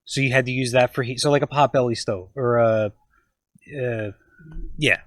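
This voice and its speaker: Man with a middle-pitched voice, curious, asks questions, dry close sound. Family interviewer. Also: fairly even intonation, moderate pace, no echo.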